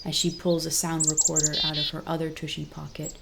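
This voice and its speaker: A woman reading a scripted children's story as narrator, in an expressive, deliberate storytelling manner. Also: low voice, gravelly, heavy background noise.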